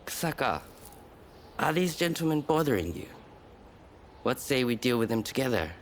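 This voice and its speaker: A man's voice: mid-range voice, big pitch swings, normal speed, a little hiss or hum, lightly acted. A man playing an easygoing, confident samurai ally in a video game cutscene, wry and self-assured. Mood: wry camaraderie.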